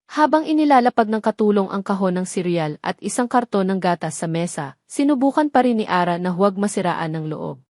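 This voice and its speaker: A woman's voice: medium pitch, lively intonation, a little fast, darkish tone, no echo, studio quality. A woman reading scripted dramatic story narration.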